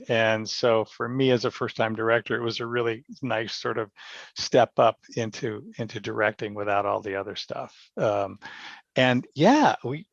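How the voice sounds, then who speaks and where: A man telling stories casually as an interview guest, animated and self-effacing. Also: medium pitch. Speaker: guest, radio theatre director. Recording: dull, muffled lo-fi roomy sound.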